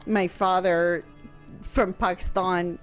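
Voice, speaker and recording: A woman from Kansas explaining history on a radio talk show, speaking carefully and pausing often on fillers. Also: fairly low pitch, fairly even intonation, faint music behind. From Kansas, USA. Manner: slow, careful, halting with fillers.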